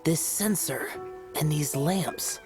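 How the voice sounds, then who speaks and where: A woman playing a stern, solemn mystic in a game, speaking in a formal, grave and measured way. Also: low voice, music in the background. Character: stern, solemn adept instructing in ancient mystical rituals. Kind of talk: game voice acting.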